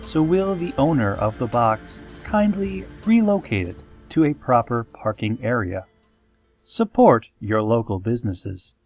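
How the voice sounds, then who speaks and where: A man playing a solemn, eerie pony radio host, reading scripted parody news in a measured, formal deadpan. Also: medium pitch, soft background music.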